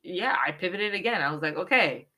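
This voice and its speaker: A woman in her thirties co-hosting a casual podcast chat, candid and emphatic with animated asides. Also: fairly low pitch, fairly quick. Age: 30s. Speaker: podcast co-host.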